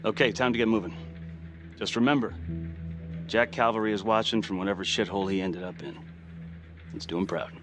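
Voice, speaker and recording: Blunt, low-voiced police chief played by a man. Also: fairly even intonation, brisk, pauses often, lightly acted. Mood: commanding. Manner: blunt, commanding. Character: blunt male police chief briefing his squad. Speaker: police commander. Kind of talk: cinematic crime game acting. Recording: dramatic music behind the voice. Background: music.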